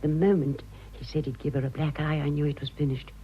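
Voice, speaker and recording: A woman's voice: low-pitched, little pitch movement, fairly quick, a little hiss or hum, lightly acted. An actress playing a wistful, worldly older English society lady in a radio drama, fond and fluttery, with a British accent. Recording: dull, muffled radio drama audio.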